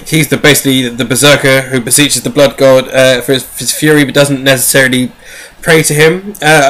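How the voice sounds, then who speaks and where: Bright-voiced, rambling enthusiastic man. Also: mid-range voice, poor audio. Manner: casual swearing. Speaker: podcast co-host. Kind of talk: casual fan discussion of sci-fi novels.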